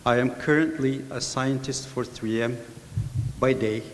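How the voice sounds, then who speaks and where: A middle-aged man from Morocco answering as a panelist in a thoughtful, measured way. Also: mid-range voice, fairly even intonation. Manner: thoughtful, measured, with filler pauses. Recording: hall reverb, faint hiss.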